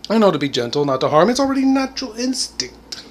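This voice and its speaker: A man's voice: sits high, big pitch swings, fast, a little hiss or hum. A man in his forties talking to the camera in a rambling, reflective monologue, full of "you know" and "I mean" and bits of laughter. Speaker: vlogger. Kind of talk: casual spoken monologue.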